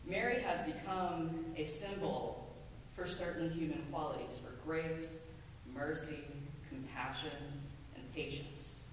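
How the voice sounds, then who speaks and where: A woman minister giving a measured, earnest sermon from a pulpit in a church sanctuary, with a pulpit microphone and a distant camera. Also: low voice, a little hiss or hum. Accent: American. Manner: measured, deliberate, earnest preaching with emphatic lists. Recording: echoey sanctuary from far off.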